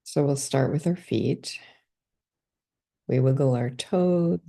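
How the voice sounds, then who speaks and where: A woman leading a guided body scan meditation, giving calm, gentle step-by-step instructions. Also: extremely low pitch, slow pace, gravelly.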